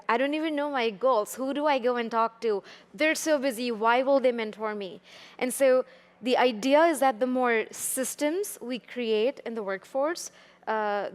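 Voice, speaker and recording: A woman with an Indian accent speaking as a panelist at a live discussion, earnest and persuasive, often checking in with "right?". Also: a little high. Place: conference hall.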